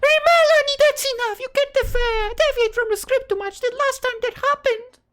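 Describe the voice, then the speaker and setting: A woman voicing an earnest high school girl from a visual novel, in an airy anime style. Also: a little fast, fairly bright tone. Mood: scolding, urgent.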